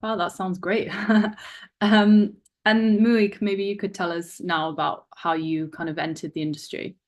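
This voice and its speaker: A woman's voice: on the low side, level tone, measured pace. A woman moderating a panel, giving short, encouraging prompts to the speakers. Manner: brief and encouraging. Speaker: panel chair.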